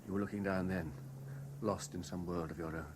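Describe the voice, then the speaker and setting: An actor playing an obsessed, lovelorn British man in a 1970s radio drama, speaking with intense, deliberate theatrical delivery. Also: low voice, fairly even intonation, natural voice. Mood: tender, searching. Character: obsessed lovelorn man piecing together a betrayal. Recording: noisy old radio broadcast recording.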